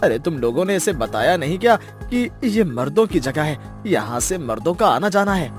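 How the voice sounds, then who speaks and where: A man's voice: a little high, sing-song, normal speed. Man acting indignant village man over music. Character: village men of a Hindi family story, indignant and stern. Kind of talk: acted cartoon story dialogue.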